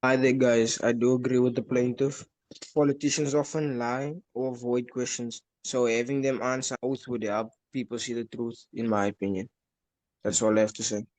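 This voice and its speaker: A man's voice: medium pitch, level tone, normal speed, a little mellow, dry recording, rough recording. A synthetic-sounding male voice reading out a short scripted opinion as a juror.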